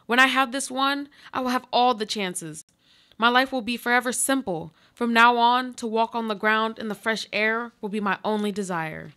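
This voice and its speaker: A woman's voice: a little high, moderate pace, sharp tone, clean tone. Solemn trapped woman, played by an actress, clinging to life. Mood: pleading, urgent. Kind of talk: live radio play monologue.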